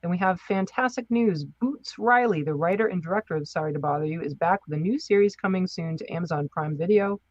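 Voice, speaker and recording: A woman's voice: on the low side, fairly quick, few pauses, no room sound, highs cut off. A woman co-hosting a podcast in casual, chatty and informal style, speaking with enthusiasm. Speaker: podcast co-host.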